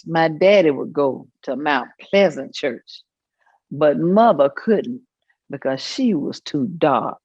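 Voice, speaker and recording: A woman's voice: low-pitched, expressive, slow pace, a little mellow, husky, no echo, highs cut off, full character voice. An actress performing an elderly church-going woman's recollections in a staged reading, folksy and full of small asides. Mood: fond. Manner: folksy storytelling with hummed asides and chuckles.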